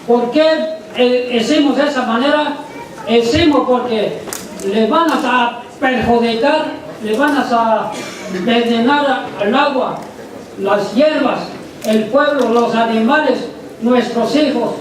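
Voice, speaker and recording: A man giving plain-spoken testimony to an assembly in short, halting phrases. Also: very high-pitched. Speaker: community speaker. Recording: echoey, distant, noisy room sound.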